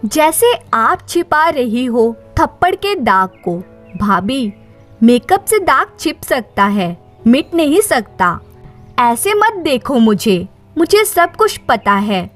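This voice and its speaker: A woman's voice: high voice, big pitch swings, takes it slowly, fairly bright tone, faint music behind, full character voice. A woman voicing a knowing young sister-in-law in a story, frank and pointed.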